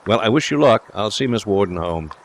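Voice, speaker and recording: Man playing a breezy, self-assured young gentleman. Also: fairly low pitch, expressive, a little fast, natural voice. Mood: breezy, confident. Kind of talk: dramatised audiobook dialogue.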